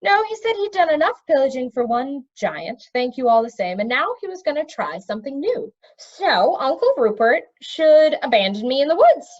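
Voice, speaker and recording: A woman's voice: sits high, very animated, moderate pace, few pauses, muffled recording, pitched above the performer's own voice. A woman voicing an eager, blunt young boy prince who speaks up insistently, in a storybook reading. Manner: insistent, outspoken.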